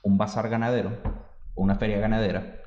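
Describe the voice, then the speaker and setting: Man, mellow warm tone, roomy dull recording. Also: fairly low pitch, flat delivery, fairly quick. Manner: storytelling, explaining local customs. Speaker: podcast co-host. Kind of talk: casual chat.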